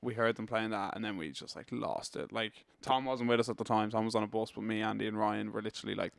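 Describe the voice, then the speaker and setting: Casting: young Irish male musician, casual interviewee, crisp voice with little pitch movement. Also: fairly even intonation, fast, dry recording. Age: young adult. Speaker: band member being interviewed.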